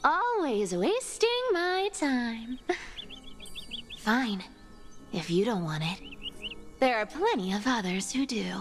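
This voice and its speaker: A woman's voice: a little high, sing-song, takes it slowly, pauses often, soft background music. A woman playing a sly, brash young female thief in a video game, teasing and cocky. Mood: impatient. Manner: teasing, cocky, laughing often. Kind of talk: video game story voice acting.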